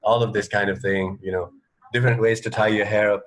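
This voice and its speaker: A middle-aged man co-hosting a radio show, chatting casually and haltingly over a video call from home. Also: fairly low pitch, level tone, rough recording. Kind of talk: casual chat. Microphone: earphone mic on a video call.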